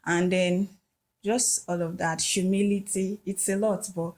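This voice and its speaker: A woman's voice: medium pitch, fairly even intonation, unhurried, a little mellow. A woman speaking in a casual podcast discussion, thoughtful and hesitant, with many "um"s.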